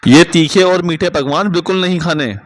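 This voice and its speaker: A man's voice: a little high, fairly quick, no echo, natural voice. A man playing a serious, concerned family doctor in a cartoon moral story, explaining things firmly. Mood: serious, advising. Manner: explanatory, firm.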